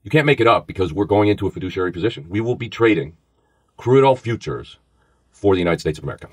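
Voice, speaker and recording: A middle-aged man with a Bronx New York accent, telling his story in a casual, animated podcast conversation. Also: fairly low pitch, quick pace, breathy edge, no room sound. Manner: animated storyteller, acts out quoted voices. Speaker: former FBI agent, podcast co-host.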